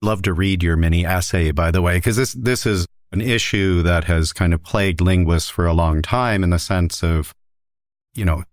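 A man hosts an academic interview and asks thoughtful, probing questions, stumbling a little at the start of each one. His voice is very low, smooth and resonant. The sound is dry and close. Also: no echo. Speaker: host, interviewer.

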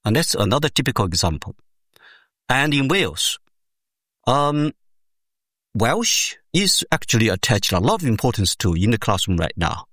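A man speaking English as a second language explains his research in a measured, step-by-step way, pausing often to find his words. His pitch rises and falls with lively emphasis. The sound is dry and close, with no room echo. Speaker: education researcher, interview guest. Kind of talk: explanatory academic interview answer.

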